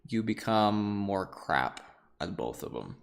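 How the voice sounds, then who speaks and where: A man's voice: sits low, sing-song, takes it slowly, vocal fry. A young man whose first language is Russian gives a casual, chatty art lecture on a livestream. He often laughs and talks loosely. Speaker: art lecturer streaming a class.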